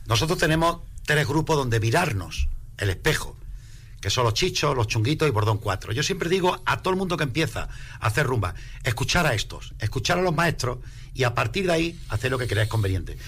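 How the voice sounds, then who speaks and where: A man's voice: mid-range voice, big pitch swings, brisk, a bit bright, husky. A man from Spain with a Spanish accent, a singer giving a casual radio interview in an emphatic way, repeating his phrases. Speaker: singer in a rumba band.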